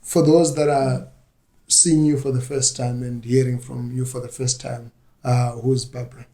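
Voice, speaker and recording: A man hosts a relaxed-format interview show. His voice is mid-pitched for a man and stays fairly flat in intonation as he introduces and questions. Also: moderate pace. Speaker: radio show host. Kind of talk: conversational interviewing.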